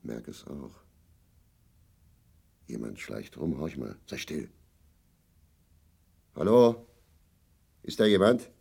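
An actor playing a bitter, drunk, lonely old East German husband, gravelly and grumbling, in a grotesque radio drama. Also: male voice, extremely low pitch, very animated, takes it slowly, long pauses, darkish tone, heavily acted. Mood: wary, on edge. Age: older. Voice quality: gravelly, husky. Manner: grumbling, declamatory, self-pitying.

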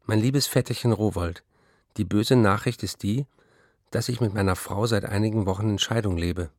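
A bitter weary writer. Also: male voice, lowish voice, moderate pace, darkish tone, no echo, clean studio sound. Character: weary embittered male writer reading his diary and letters. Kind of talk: radio play reading.

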